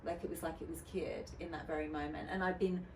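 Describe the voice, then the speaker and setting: A woman's voice: sits low, fairly even intonation, fast. A woman, a DJ guest on a podcast, talking candidly and chattily with lots of fillers and restarts. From United Kingdom. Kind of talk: casual podcast interview. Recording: roomy, distant mic with faint noise.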